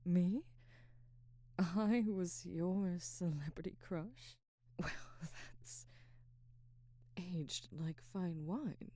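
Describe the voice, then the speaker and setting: Woman voicing a middle-aged screen actress, low-pitched, dark mellow tone, wry and flustered, soft intimate ASMR roleplay. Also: very slow, pauses often, no room sound. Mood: flattered, touched. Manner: soft, hesitant, breathy murmurs slipping into whispers.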